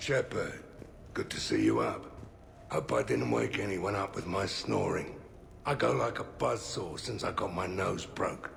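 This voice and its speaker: An actor playing a gruff but friendly soldier in a video game, with a gravelly voice and a blunt, matey way of talking. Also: male voice, sits low, animated, a little slow, fairly bright tone, slight background noise, exaggerated voice. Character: gruff, friendly male soldier with broken nose.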